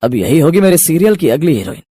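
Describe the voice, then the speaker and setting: A man voicing a fussy, emphatic TV serial director in an animated moral story. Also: on the high side, fast talker, husky, no echo, clean studio sound. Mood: decided. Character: fussy male TV serial director hunting a heroine.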